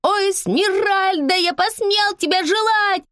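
Shrill bratty little girl. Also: animated, a little slow, no room sound, studio quality, heavily acted. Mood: defiant singing. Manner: loud, whiny, taunting sing-song. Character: shrill, defiant, bratty eight-year-old girl singing to provoke.

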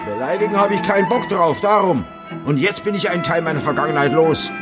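A man's voice: a little high, lively intonation, quick pace, over music, lightly acted. An actor playing a callous, murderous postman in a radio drama, scheming, self-righteous and cold-blooded about violence. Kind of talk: German radio drama acting.

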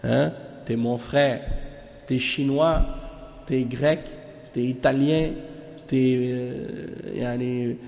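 A man's voice: mid-range voice, steady tone, very slow, vocal fry, pauses often, a little mellow. Casual male teacher, echoey lo-fi room. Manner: casual, familiar talk with frequent "hein?" asides. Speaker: religious teacher. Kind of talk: lesson explaining a commentary. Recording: echoey room, dull lo-fi sound.

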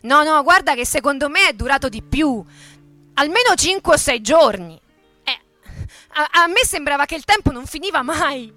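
A woman playing an earnest ageing male metalworker who recalls his militant youth in a halting, conversational, emphatic way, as a radio theatre monologue. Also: high-pitched, expressive, bright, forceful tone, no room sound, soft background music. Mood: reflective, insistent.

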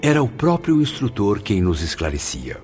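A man's voice: lowish voice, huge pitch swings, normal speed, darkish tone. A man narrating a radio drama in a solemn, dramatic way. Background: music.

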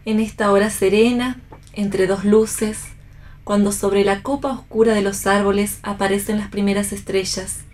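A woman's voice: medium pitch, little pitch movement, moderate pace, darkish tone, natural voice. A woman acting a romantic, devoted wife in a radio play, with a formal, poetic way of speaking. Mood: poetic calm. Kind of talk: staged radio play reading.

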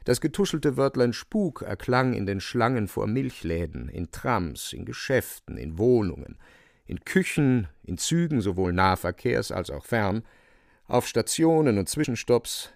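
Ironic male narrator, lowish gravelly voice, theatrical emphasis, literary radio drama. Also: very animated, normal speed, a little mellow, no room sound, studio quality. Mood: wry, ironic. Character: wry, theatrical male storyteller of satirical novel.

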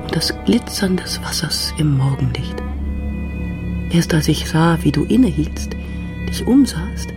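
An older actress playing a frail, wistful grandmother who remembers her past slowly and reflectively in a radio drama. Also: deep voice, animated. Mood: hushed, rapt. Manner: slow, halting, reflective storytelling. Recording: music behind the voice.

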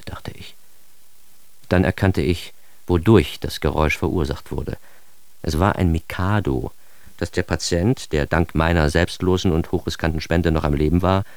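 A man's voice: sits low, no echo. An actor playing a self-aggrandizing, wry man telling his own absurd story in a radio drama monologue, ironic and theatrical. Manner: ironic, theatrical, shifting into hushed asides.

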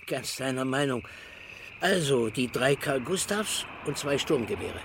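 Precise retired explosives expert. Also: male voice, medium pitch, lively intonation, a little slow, noisy background. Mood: calm, informative. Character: fussy, methodical retired male explosives expert selling weapons. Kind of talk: German crime radio drama acting.

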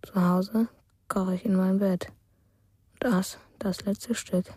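Radio drama narration: lonely, wistful storyteller, mellow husky tone, slow quiet delivery. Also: female voice, medium pitch, fairly even intonation, dry recording, studio quality. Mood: wistful, dreamy. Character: wistful woman narrating a lonely remembered journey.

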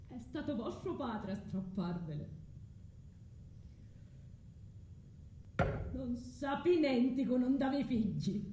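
Anguished vengeful mother, high voice, declamatory radio tragedy, swinging from tenderness to fury, roomy echo. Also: warm tone. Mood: tender, mournful. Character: Medea, anguished vengeful mother resolving to kill her children. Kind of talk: tragic stage monologue for radio theatre. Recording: roomy echo, faint background noise.

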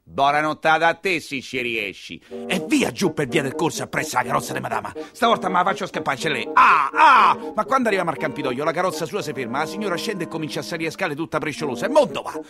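Animated Roman coachman, earthy storyteller. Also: a little high, fast talker, few pauses. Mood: excited, hurried. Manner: colloquial, exclamatory, confiding, earthy. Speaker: stage and radio actor. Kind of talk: theatrical dialect monologue storytelling.

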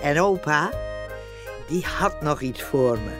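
An actor playing a storyteller who looks back on events, narrating a Dutch radio play. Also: male voice, fairly high pitch, expressive, slow pace, music in the background. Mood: wistful amusement. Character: unhurried male storyteller looking back on events.

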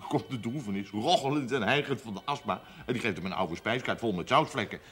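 An actor playing a wry, self-made village farmer in a television period drama, talking in a droll, folksy, deliberate way. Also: male voice, lowish voice, fairly bright tone, faint music behind, natural voice.

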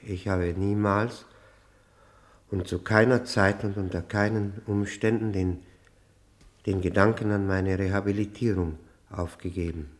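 An actor playing a broken, despairing long-term convict in a radio drama, speaking haltingly and repeating his words as he falters. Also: fairly low pitch, steady tone, close to an everyday voice. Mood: guarded, firm.

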